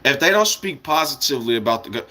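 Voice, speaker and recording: A man's voice: medium pitch, lively intonation, fast, bright, forceful tone. An American man giving a casual, rambling livestream monologue in a blunt, opinionated way, with swearing and meandering asides. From United States. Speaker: live streamer.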